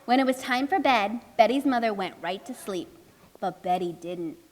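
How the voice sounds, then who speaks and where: A woman's voice: medium pitch, animated, moderate pace, darkish tone, natural voice. A woman playing a chatty, anxious storybook narrator, speaking expressively and emphatically in a children's puppet show. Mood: storytelling.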